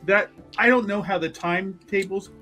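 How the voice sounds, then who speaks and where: A man's voice: high voice, normal speed, pushed and bright, faint music behind. A man chatting casually as a player in a tabletop roleplaying game, talkative and jokey, improvising what a character does. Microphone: streaming microphone on a remote call.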